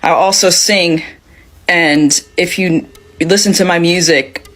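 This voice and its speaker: A woman with a deep alto voice talking frankly to camera, pausing often with fillers. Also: fairly even intonation, fairly bright tone. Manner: frank, hesitant with many fillers. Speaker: social media poster. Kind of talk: casual direct-to-camera talk.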